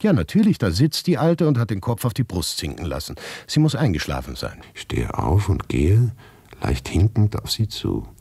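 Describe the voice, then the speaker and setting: Male radio drama narrator, low husky voice, very expressive, intense, hushed to agitated, dry close sound. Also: moderate pace, no echo. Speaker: actor narrating a radio play in the first person. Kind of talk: dramatic literary radio monologue.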